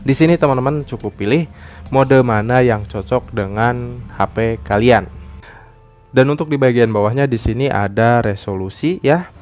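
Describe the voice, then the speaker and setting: A man's voice: mid-range voice, moderate pace, a little mellow. Man narrating a step-by-step tutorial. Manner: step-by-step explaining, repetitive phrasing. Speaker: tutorial channel host. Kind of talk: phone app tutorial narration. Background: background music.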